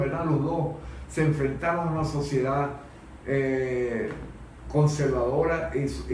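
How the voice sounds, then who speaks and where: A man giving opinions as a panel guest, haltingly, often repeating small words as he searches for phrasing. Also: mid-range voice, a little mellow. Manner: halting, stammering repetitions of words. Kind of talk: opinionated unscripted discussion. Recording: very echoey room, distant mic, faint noise.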